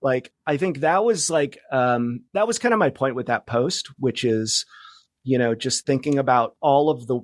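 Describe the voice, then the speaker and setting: A man talks as a guest in a relaxed interview. His voice sits at an average pitch in a very dry recording. He speaks reflectively and haltingly, circling back to restart phrases. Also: no room sound. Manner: halting, reflective, many false starts. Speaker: podcast guest, media founder and journalist. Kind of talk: casual interview conversation.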